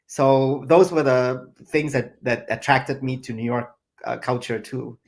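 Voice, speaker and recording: Gravelly man telling his life story. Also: mid-range voice, steady tone, unhurried. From Thailand and Singapore. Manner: reflective, many fillers. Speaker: chef and guest. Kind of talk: interview answers.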